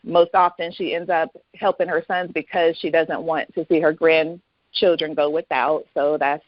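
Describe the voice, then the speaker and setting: A woman's voice: low-pitched, level tone, normal speed, no room sound, highs cut off. A woman speaking as a guest author in a casual interview, explaining things in a conversational way.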